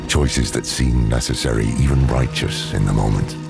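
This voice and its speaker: An extremely low, gravel-throated world-weary man narrates a dark fantasy game. He is solemn, measured and brooding. Loud music swells beneath him. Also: exaggerated voice. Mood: grave, brooding. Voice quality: very deep, gravelly. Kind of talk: scripted dramatic narration.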